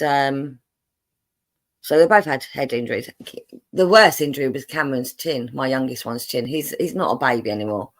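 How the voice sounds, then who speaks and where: An English woman hosting a live stream, reading out viewer messages in a rambling, chatty way with lots of fillers and frequent laughs. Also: a bass voice. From England, born in London, raised in Buckinghamshire.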